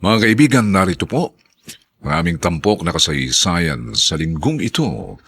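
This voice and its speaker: Husky, dramatic Filipino male radio announcer. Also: low voice, big pitch swings, a bit bright. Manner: theatrical, drawn-out emphatic phrasing. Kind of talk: solemn, dramatic scripted narration.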